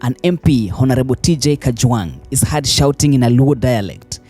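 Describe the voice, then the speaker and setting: A Kenyan man narrates scripted news commentary for an online audience. His voice is mellow and sits at an average male pitch, with faint music running underneath. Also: expressive, normal speed.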